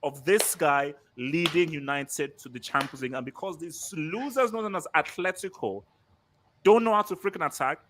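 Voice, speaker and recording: A man's voice: a little high, noisy background. A man hosting an online fan hangout, joking with callers and stretching his words. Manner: playful, drawn-out, repeats "wait". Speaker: host. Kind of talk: casual chat. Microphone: online voice call.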